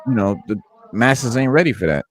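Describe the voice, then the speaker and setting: A man's voice: medium pitch, lively intonation, a little fast, slightly rough, no echo. A man in his forties co-hosting a casual hip-hop chat, emphatic and loose, with plenty of profanity and stammered restarts. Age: 40s. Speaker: podcast co-host.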